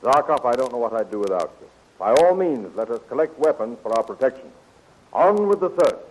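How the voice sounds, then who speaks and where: An actor playing a commanding, gallant adventure hero in a declamatory 1940s radio serial style. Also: male voice, medium pitch, big pitch swings, a little fast, lightly acted. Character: commanding, gallant young space-adventure hero turned king. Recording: muffled hissy old radio recording.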